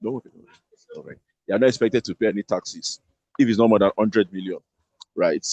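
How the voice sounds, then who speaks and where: A man's voice: fairly low pitch, a little fast, mellow, no room sound, dull audio. A man explaining tax rules to an online audience, often repeating words as he searches for them. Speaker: tax speaker.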